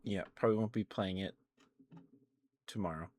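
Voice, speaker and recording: A soft-spoken man hosting a casual ASMR livestream, talking to viewers in an unhurried, affectionate way. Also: sits low. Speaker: streamer, host of the show.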